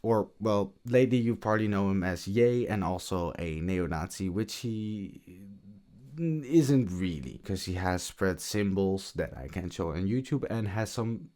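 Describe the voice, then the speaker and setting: A young man with a raspy voice, tired after a late concert, talking quietly in a slangy, rambling vlog. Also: low-pitched, lively intonation, a little slow. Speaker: vlogger. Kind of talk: casual late-night vlog commentary.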